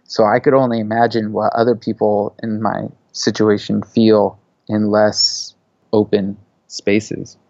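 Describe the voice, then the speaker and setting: A man's voice: lowish voice, flat delivery, measured pace, darkish tone, dry recording, lo-fi sound. A man in his thirties, born in Saudi Arabia and raised in the US, speaks as a guest in a casual interview. He talks loosely and leans on "you know" and "like" a lot. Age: 30s. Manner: loose, filler-heavy talk with many "you know"s and "like"s. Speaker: interview guest, media creative.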